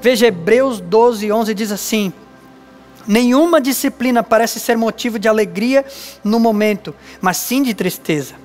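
A man in his thirties with a Brazilian Portuguese accent, a pastor giving an earnest, measured sermon with emphatic pauses on a church stage. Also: high-pitched, a little mellow, soft background music. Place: dark church stage with lights, indoors.

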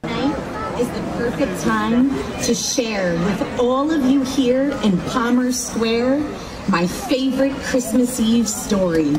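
Slow-reading woman in an echoey square. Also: on the high side, distant mic. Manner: unhurried, expressive reading. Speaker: storyteller. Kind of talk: reading a storybook aloud. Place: outdoor town square at night. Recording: echoey open air from afar with crowd.

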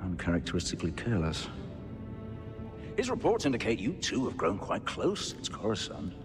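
A man's voice: lowish voice, huge pitch swings, moderate pace, over music. A man playing a haughty, calculating Imperial intelligence commander in a video game, formal and condescending. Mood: cold, condescending. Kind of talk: cinematic game dialogue.

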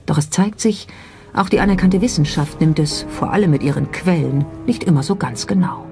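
Documentary narrator over clear background music. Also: mid-range voice, normal speed, warm tone. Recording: music behind the voice.

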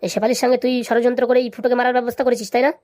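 A woman's voice: a little high, little pitch movement, rapid-fire, darkish tone, dry recording, clean studio sound, lightly acted. A woman voicing a bullying, brusque male police officer interrogating someone in a comic cartoon. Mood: threatening.

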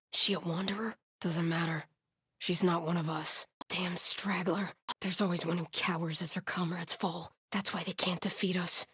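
A woman's voice: fairly low pitch, husky, no room sound, clean studio sound. An actress playing a grim, devout female cult soldier in a video game, with a stern, zealous manner. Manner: solemn, stern, swinging from hushed muttering to shouted alarms. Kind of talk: video game combat voice lines.

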